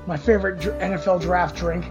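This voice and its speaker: A young man in his twenties hosts a sports podcast with a hoarse, gravelly voice pitched fairly high. He rambles through plugs and a loose pep talk, and the dull lo-fi recording has smooth jazz playing clearly beneath him. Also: steady tone, fairly quick, music in the background. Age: 20s. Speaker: podcast host. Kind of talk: casual sports podcast chat. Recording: dull lo-fi audio with jazz underneath.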